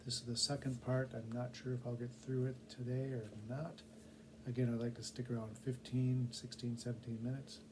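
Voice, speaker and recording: A man's voice: mid-range voice, little pitch movement, moderate pace, background noise. A man with a casual, conversational manner, chatting and narrating a storybook as a volunteer reader.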